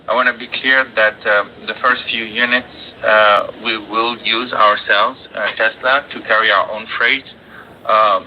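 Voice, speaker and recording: A man giving unscripted executive remarks on an earnings call, pausing often as he goes. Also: on the low side. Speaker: company executive. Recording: dull, noisy conference call audio.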